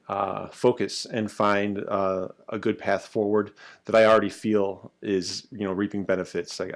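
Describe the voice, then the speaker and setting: American man, 30s, casual testimonial, halting with lots of fillers, slightly low pitch. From United States. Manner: hesitant, many ums and uhs. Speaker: language student giving a testimonial.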